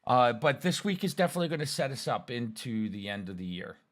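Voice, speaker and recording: A man with a hoarse, raspy voice from a cold, giving energetic, folksy live market commentary. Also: mid-range voice, fast. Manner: energetic, folksy, lots of fillers. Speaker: trading show guest.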